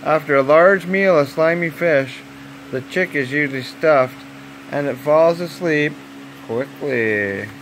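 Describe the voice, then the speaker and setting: Male storyteller, slightly high voice, deliberate children's bedtime narration, faint background noise. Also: low-quality recording. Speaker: storyteller reading a nature story aloud.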